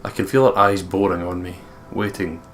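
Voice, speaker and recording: A man's voice: deep voice, little pitch movement, normal speed, a bit bright, faint music behind. A man with a Scottish accent, reading game narration and chatting as a let's play co-host. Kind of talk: reading game narration aloud and casual commentary.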